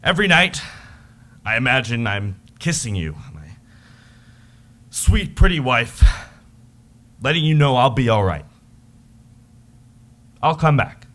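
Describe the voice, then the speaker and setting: A man playing a weary, homesick modern soldier, reading a heartfelt, halting letter to his wife. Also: mid-range voice, big pitch swings, fairly bright tone. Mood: hopeful, homesick.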